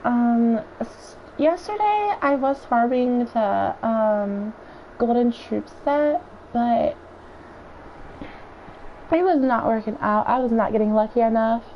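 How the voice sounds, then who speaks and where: A hoarse woman with a raspy voice, chatting and thinking aloud in casual gameplay commentary as she streams. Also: a little high, animated, takes it slowly. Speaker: game streamer. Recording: dull lo-fi stream audio with faint noise.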